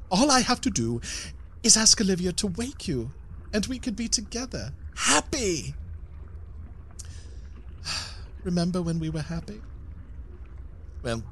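An actor playing a snobbish, self-conscious man who is sincere and heartsick, speaking haltingly with sighs in an audio drama. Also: high-pitched, fairly bright tone, breathy edge, heavily acted. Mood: wistful, resigned. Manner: halting, sighing, wistful. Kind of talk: audio fiction comedy drama monologue.

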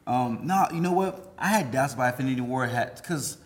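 Man of average pitch, halting casual talk. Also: animated. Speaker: podcast panelist. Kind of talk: casual movie discussion.

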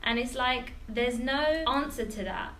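A woman's voice: fairly high pitch, steady tone, normal speed, sharp tone. A woman in casual podcast chat, talking in a reflective, questioning way. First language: Urdu.

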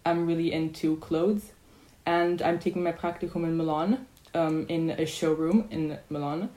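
A teenage girl whose first language is Italian talks through her answers in a casual, unhurried way, filling gaps with ums. Her voice sits a little low, and the room around her rings with echo. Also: fairly even intonation, warm tone. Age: teens. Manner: hesitant, many ums, thinking aloud. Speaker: student guest. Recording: echoey room.

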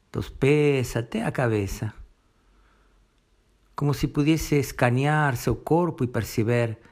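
A man leading a guided meditation, giving gentle step-by-step instructions in short phrases with long pauses between them. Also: medium pitch.

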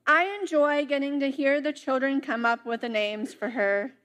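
A young woman, a summer intern, reading scripture and preaching a sermon in a church, with a slow, careful delivery. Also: high voice, steady tone, fairly bright tone. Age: young adult. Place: church sanctuary.

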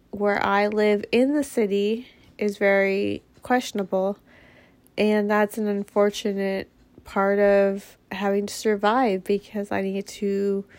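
Congested woman talking slowly and bluntly. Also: medium pitch, darkish tone, no echo. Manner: blunt, frank, sprinkled with swearing. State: congested from a head cold, nasal, sniffling. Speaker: podcast host. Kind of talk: casual monologue.

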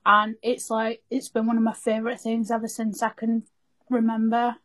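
A woman's voice: a little high, monotone, moderate pace, fairly bright tone. A young woman co-hosting a podcast, chatting casually and earnestly with everyday northern English slang. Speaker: podcast co-host. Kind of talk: casual chat.

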